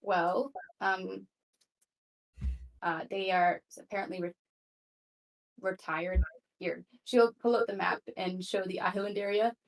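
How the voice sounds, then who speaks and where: A woman's voice: mid-range voice, steady tone, a little slow, pauses often, poor audio. A woman playing a tabletop roleplaying game, chatting casually and a little hesitantly in character. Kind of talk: casual improvised roleplay chat.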